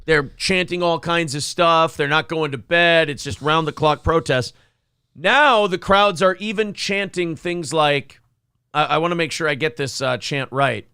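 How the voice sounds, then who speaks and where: Man, highish creaky voice, casual joking banter, profane, dry close studio sound. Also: measured pace, pushed and bright, no echo. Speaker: morning show co-host. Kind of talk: casual comedic banter on current news.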